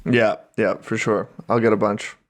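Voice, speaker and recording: A man's voice: lowish voice, little pitch movement, normal speed. A man co-hosting a podcast in an easygoing, agreeable, casual way. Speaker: podcast co-host. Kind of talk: casual chat.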